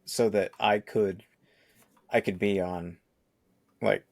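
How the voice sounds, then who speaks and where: Gravelly low-voiced man in his forties. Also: fairly even intonation, takes it slowly. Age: 40s. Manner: rambling, restarts often, laughs easily. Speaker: podcast co-host. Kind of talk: casual chat.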